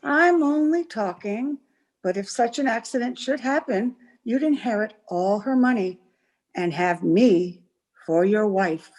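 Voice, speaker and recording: A woman playing a scheming, ambitious young farm girl in an old-time radio melodrama, taunting and deliberate. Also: on the high side, expressive, a little mellow. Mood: sly, insinuating. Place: remote recording over Zoom.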